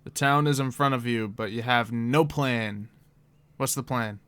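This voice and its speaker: A man's voice: medium pitch, unhurried, a bit bright, clean tone, dry recording. A man acting as game master, casually narrating and improvising a tabletop roleplay game.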